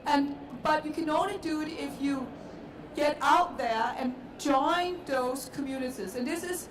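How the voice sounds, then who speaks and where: A woman's voice: high-pitched, measured pace. A woman with a Danish accent, speaking earnestly and with emphasis as a panelist at a conference. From Denmark. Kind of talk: panel discussion. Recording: echoey hall, distant mic, noisy.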